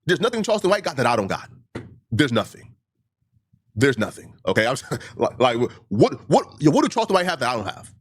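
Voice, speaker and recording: A man who stutters, a livestreamer giving a heated monologue to his critics, full of repeated points and rhetorical questions. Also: medium pitch.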